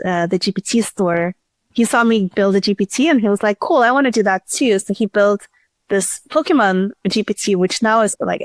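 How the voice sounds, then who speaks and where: Woman being interviewed, casual and explainy, normal pitch, really dry close sound. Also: a little mellow, no room sound. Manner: informal, explanatory. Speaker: podcast guest, tech startup CEO.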